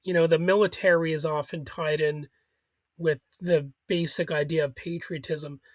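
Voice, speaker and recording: A man's voice: on the high side, level tone, moderate pace, no room sound. A man hosting a solo political commentary show, arguing critically, with chuckles and small restarts.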